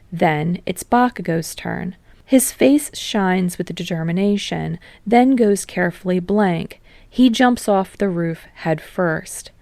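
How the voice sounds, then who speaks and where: Lowish, creaky-voiced woman narrating fiction. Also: darkish tone, no room sound. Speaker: audiobook narrator. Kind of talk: expressive scripted fiction narration.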